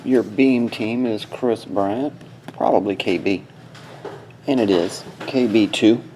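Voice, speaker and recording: A man's voice: lowish voice, slow, warm tone, slight background noise. A man hosting a card break with casual, terse commentary, muttering short fragments as he sorts through cards. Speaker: host of a sports card box break. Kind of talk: casual live commentary while opening card packs. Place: small indoor desk setup.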